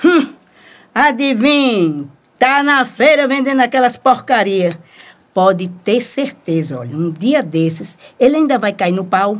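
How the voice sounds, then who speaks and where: Swooping, high-voiced Northeastern Brazilian wife. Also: female voice, a little slow. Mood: scornful gossip. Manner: colloquial, animated, exclamatory. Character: sharp-tongued, scheming small-town wife. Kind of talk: radio soap opera acting.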